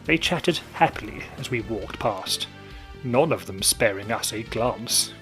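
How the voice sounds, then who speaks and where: Man narrating a spooky tale, slow, swooping pitch, faint music behind. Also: expressive, a bit bright. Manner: dramatic, playful spooky storytelling. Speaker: storyteller. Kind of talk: scripted story narration.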